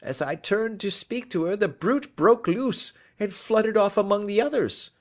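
A man's voice: sits high, animated, normal speed. A man reading aloud as a frightened, desperate young male thief confessing his story in an agitated, pleading way. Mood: anxious. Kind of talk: audiobook reading.

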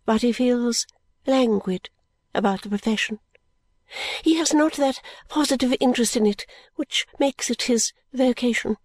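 A woman's voice: sits high, a little mellow, no echo, pitched above the performer's own voice. Woman doing a proud, lecturing lady. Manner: stately and self-assured, lecturing. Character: middle-aged woman, proud, opinionated, thrice-married. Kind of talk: audiobook reading.

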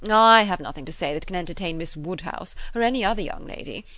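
Woman acting a sneering married gentleman. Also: lowish voice, animated, fast talker, no echo. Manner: emphatic, sneering. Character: sneering, self-deprecating older married man. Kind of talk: classic novel character dialogue.

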